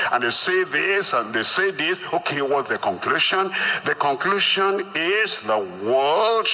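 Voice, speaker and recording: An older man preaches in a sharp, ringing, high-set voice. He is emphatic and deliberate, driving phrases home by repeating them. The sound is slightly dulled, with faint noise behind him. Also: dull audio. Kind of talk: emphatic sermon to a congregation.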